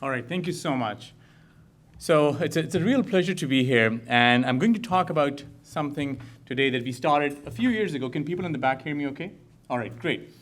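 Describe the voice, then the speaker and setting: A man's voice: fairly high pitch, animated. Earnest man from Pakistan giving a talk. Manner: earnest, slow emphatic phrasing. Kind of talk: public talk to a live audience. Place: lecture hall.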